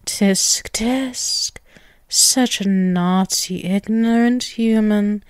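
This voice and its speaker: A woman's voice: medium pitch, clean tone, no room sound, clean studio sound. A woman voicing a dominant, ageless vampiress in an ASMR roleplay, teasing and condescending, drawing out her words. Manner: slow, condescending, teasing, with drawn-out words and chuckles. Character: dominant, taunting ageless vampiress toying with a captive human.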